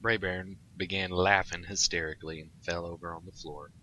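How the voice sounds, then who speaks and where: A man's voice: deep voice, flat delivery, normal speed, bright, forceful tone, low-quality recording. A man reading comic fan fiction aloud as co-host of a read-along. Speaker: co-host and story reader.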